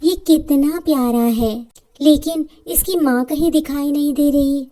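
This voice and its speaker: Woman voicing a high-pitched, kind curious girl. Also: measured pace, dark tone. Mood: tender concern. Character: kind, curious young female animal lover. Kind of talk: children's story character voice.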